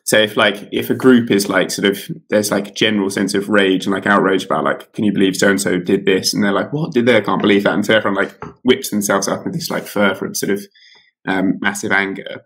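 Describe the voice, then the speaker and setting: A man's voice: on the low side, steady tone, fairly quick, fairly bright tone, breathy edge. A man in his thirties, an author being interviewed over a video call from home, talking casually with many fillers and restarts. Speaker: author guest. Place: home room with curtains.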